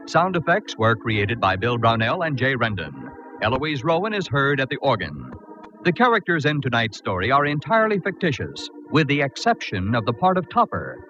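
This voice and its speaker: A man with an American accent reading scripted announcements in a formal, polished 1940s radio style. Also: mid-range voice. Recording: dull vintage radio recording with music.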